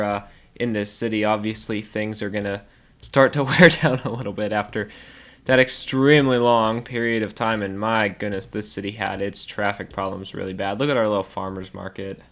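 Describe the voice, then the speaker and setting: A man's voice: lowish voice, a bit bright. A man with a stuffed-up nose chatting casually over a city-building game as a let's play host, laughing often and thinking aloud. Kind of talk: casual gameplay commentary.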